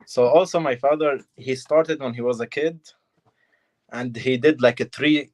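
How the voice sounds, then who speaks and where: A young man from Syria, answering interview questions in short, halting phrases of simple English. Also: medium pitch, steady tone, a little mellow. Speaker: fighter, guest.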